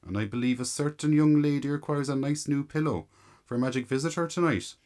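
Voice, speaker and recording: A man's voice: mid-range voice, brisk, darkish tone, dry recording, studio quality, natural voice. A man playing a courteous, kindly Irish police officer in a radio drama. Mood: kindly, reassuring.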